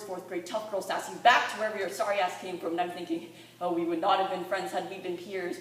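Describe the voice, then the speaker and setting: A teenage girl performing spoken-word poetry with earnest, dramatic, rhythmic delivery. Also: medium pitch. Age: teens, fifteen or sixteen. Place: library room with a live audience. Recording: very echoey room, distant mic, faint noise.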